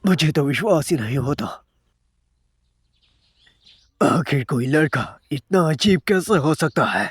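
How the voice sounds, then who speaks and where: A man voicing panicky, excitable school characters in a Hindi anime fan dub, with a dramatic, stammering delivery. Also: on the high side, moderate pace, husky, no room sound, soft background music. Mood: nervous.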